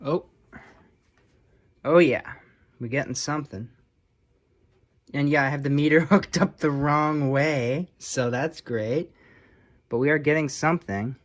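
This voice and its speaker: A man's voice: on the high side, a little slow, pauses often. A man giving casual, unscripted commentary on his own hands-on repair, offhand and thinking aloud as he works. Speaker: hobbyist restorer presenting his own repair video.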